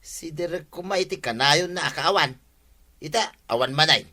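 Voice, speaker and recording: Grumbling villager. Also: male voice, fairly high pitch, moderate pace, pushed and bright. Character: complaining villager at the barangay hall. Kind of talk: radio comedy drama acting.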